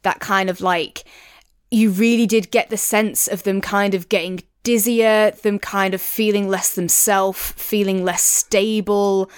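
A woman's voice: mid-range voice, steady tone, a little slow, smooth, dry recording, clean studio sound. A woman in a casual review discussion as a podcast co-host, thinking aloud in a tentative, hedging way.

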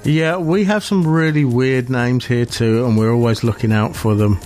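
A man's voice: mid-range voice, moderate pace, a little mellow, soft background music. A British man co-hosting a podcast, chatting casually and laughing often between his points. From United Kingdom. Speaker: podcast co-host. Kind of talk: casual chat.

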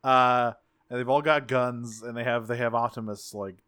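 A man co-hosting a podcast, recapping scenes in a rambling, excitable way and swearing freely. His voice sits in a middle range and sounds bright and forward. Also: mid-range voice, moderate pace, smooth. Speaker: podcast co-host. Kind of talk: casual chat, recapping an episode.

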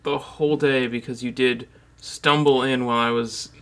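A young man co-hosting a podcast from a home basement, tossing out absurd jokes in a deadpan way. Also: mid-range voice, moderate pace. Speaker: podcast co-host. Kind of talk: casual chat.

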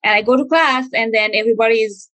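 Earnest woman, fairly high voice. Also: a bit bright, no room sound. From Nepal. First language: Nepali. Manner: earnest and reflective, with frequent pauses and fillers. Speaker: speaker sharing a personal testimony. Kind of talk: personal storytelling talk.

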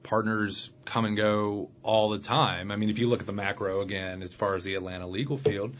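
A man in his thirties, a guest on a podcast, talking in a relaxed way and often restarting his sentences as he thinks aloud. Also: on the low side, brisk. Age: 30s. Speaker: guest attorney. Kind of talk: casual podcast interview. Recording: slightly muffled podcast recording.

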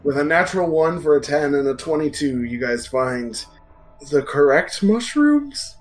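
A man's voice: fairly high pitch, normal speed, faint music behind. A man running a tabletop game as game master, narrating off the cuff in a casual, hesitant way.

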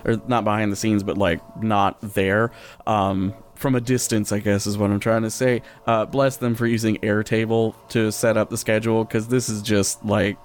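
A man's voice: on the low side, level tone, normal speed. An American man hosting a casual podcast chat in a wry, joking way. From US Midwest. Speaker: podcast host.

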